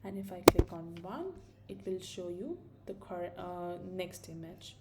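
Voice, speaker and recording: A woman's voice: lowish voice, a little slow, heavy background noise. A young woman from India with an Indian accent, casually thinking aloud as she walks through a coding tutorial, with fillers and self-corrections. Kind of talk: screen-recorded coding tutorial.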